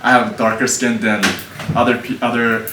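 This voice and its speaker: A man's voice: mid-range voice, flat delivery, moderate pace. A man in his twenties who grew up in Japan, with Japanese as his first language, speaking English easily and filling his talk with "like" as an interview guest. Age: 20s. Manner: easygoing, leans on filler "like". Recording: roomy, distant mic, faint background hum.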